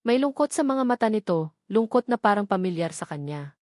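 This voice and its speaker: A woman narrating a scripted romantic story in an expressive storytelling manner. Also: medium pitch, a little fast, dry recording, clean studio sound. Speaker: audiobook narrator.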